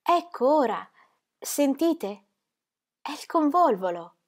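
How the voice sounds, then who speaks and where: A woman voicing a dreamy, rapturous young woman who gushes breathlessly in a high voice. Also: very animated, slow pace, exaggerated voice. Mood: delighted.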